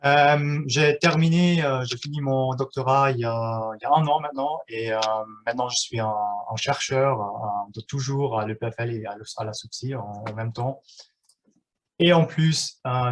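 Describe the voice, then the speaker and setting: A man in his thirties whose first language is German, giving a live online workshop in a halting way, with many fillers and restarts. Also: mid-range voice. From German-speaking Switzerland.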